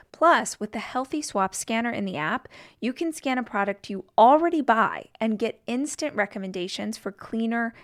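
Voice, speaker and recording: Female host, upbeat ad read, spells the web address slowly. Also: medium pitch. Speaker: host reading an ad. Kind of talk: read sponsor ad.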